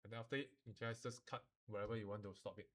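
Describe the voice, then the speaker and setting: A man gives an informal, step-by-step software tutorial to students, switching between languages and often checking that they follow. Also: fairly low pitch, steady tone, quick pace. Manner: switches between Mandarin and English, repeating explanations. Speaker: animation software instructor.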